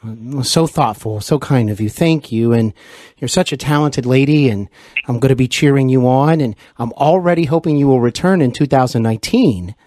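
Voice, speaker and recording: A man hosting a podcast, speaking courteously and deliberately to guest and listeners. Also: mid-range voice, a little mellow, dry recording, clean studio sound. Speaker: podcast host. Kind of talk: interview hosting and sign-off address to listeners.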